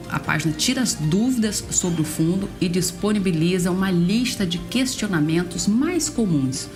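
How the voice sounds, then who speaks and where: A woman news presenter with a Brazilian accent speaks in a fairly low voice. She explains items in an informal presenting style, with clear music running behind her.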